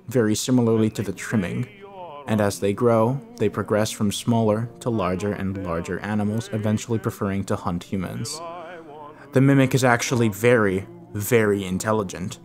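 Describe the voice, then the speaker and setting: A man reading scripted explainer narration on horror lore in a measured, expository way. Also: on the low side, steady tone, a little mellow. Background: background music.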